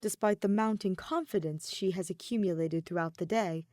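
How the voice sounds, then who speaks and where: A woman reading scripted fiction as a narrator, with expressive, measured storytelling. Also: medium pitch, no echo, studio quality. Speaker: podfic narrator.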